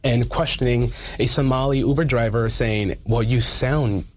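A man with a mid-pitched, husky voice speaks as a community advocate in an interview. He is earnest and emphatic, often repeating short phrases to drive the point home. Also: a little slow. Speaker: community activist giving an interview.

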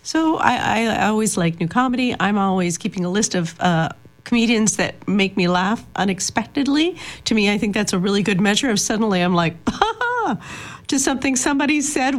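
Hesitant woman radio host. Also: medium pitch. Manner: hesitant, many fillers, light laughs. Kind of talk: casual radio chat. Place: radio studio.

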